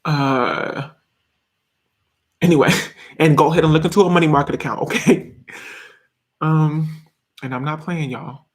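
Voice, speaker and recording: A young man in his twenties talks casually on a live stream from a small bedroom, answering viewers' comments. His voice sits a little high for a man, and he laughs often, restarts sentences and piles on fillers as he chats. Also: steady tone, measured pace, pauses often. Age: 20s. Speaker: YouTuber reading live chat.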